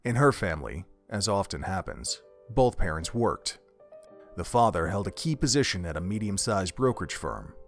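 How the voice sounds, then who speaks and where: A man's voice: deep voice, lively intonation, normal speed, faint music behind. A man narrating an audio drama from a script, telling a story in the third person.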